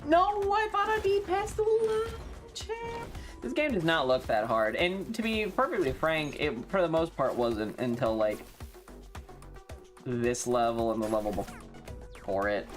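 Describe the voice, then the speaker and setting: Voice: medium pitch, sing-song, a little slow, faint music behind. An American game streamer giving casual live commentary, swearing often, exclaiming and repeating phrases. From North Carolina, US.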